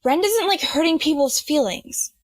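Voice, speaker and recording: A woman's voice: sits high, expressive, normal speed, no room sound. A woman playing a haughty, dry-witted rich young student who scolds sardonically in an audio drama. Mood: exasperated, scolding.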